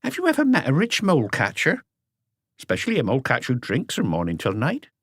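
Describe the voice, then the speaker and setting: Man acting an elderly mother, raised pitch, wary, proud, defiant retorts, audiobook character. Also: huge pitch swings, quick pace, a bit bright, breathy edge, no room sound, clean studio sound, full character voice. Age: older. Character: sharp-tongued elderly mother, wary, proud of her son.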